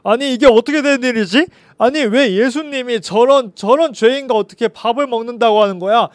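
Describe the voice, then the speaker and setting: A man's voice: high-pitched, brisk, dry recording, natural voice. A man voicing gossiping townspeople who exclaim in disbelief and indignation. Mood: indignant.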